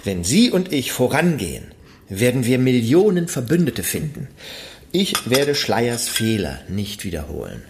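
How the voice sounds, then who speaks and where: An eager campaigner talks up his plan with persuasive enthusiasm. His voice sits mid-range and moves in a lively way. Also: mid-range voice, unhurried. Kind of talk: radio play acting.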